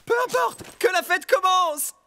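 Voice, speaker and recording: A man voicing a wily, coaxing animal trickster in a French cartoon, with an animated delivery. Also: very high-pitched, unhurried, vocal fry, full character voice. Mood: nervous bluffing. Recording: music behind the voice.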